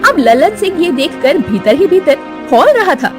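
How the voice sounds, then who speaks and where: Female storybook narrator, lively pitch, light music bed. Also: measured pace. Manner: expressive storytelling.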